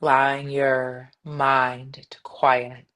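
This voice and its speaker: Woman meditation guide: gentle, soothing delivery, measured phrasing, long pauses between instructions. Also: a bass voice, flat delivery. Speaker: yoga nidra meditation guide.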